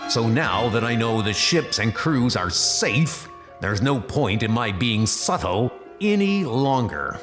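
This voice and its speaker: A man's voice: mid-range voice, very animated, takes it slowly, few pauses. A man playing a stern, terse superhero in a comic dub. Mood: grim resolve. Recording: loud background music.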